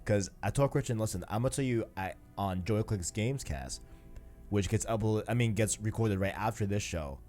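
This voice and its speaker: A man's voice: on the low side, fairly quick, darkish tone, faint music behind. A man hosting a podcast, chatting casually about video games in slangy speech and often repeating himself as he agrees. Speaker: podcast host.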